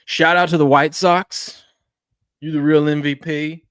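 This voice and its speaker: A man in his thirties hosting a live sports betting show, chatting casually and swinging between mumbles and loud hype. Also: on the high side, little pitch movement, measured pace, clear, resonant tone, no room sound, clean studio sound. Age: 30s. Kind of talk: casual live-stream chat.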